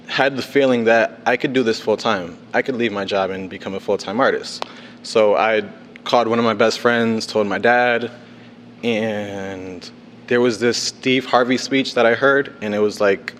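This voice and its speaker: A man's voice: fairly low pitch, fairly bright tone, a little hiss or hum. A young man from Connecticut, an artist telling his story on a panel in a loose, informal way. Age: young adult. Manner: informal, with fillers and restarts. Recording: long hall reverb.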